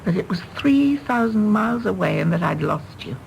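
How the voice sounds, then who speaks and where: An actress playing a wistful, wry middle-aged Englishwoman in a radio play, speaking in a measured, genteel English accent. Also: mid-range voice, lively intonation, creaky, darkish tone, low-quality recording. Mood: wistful, regretful. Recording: faint background noise and ambience.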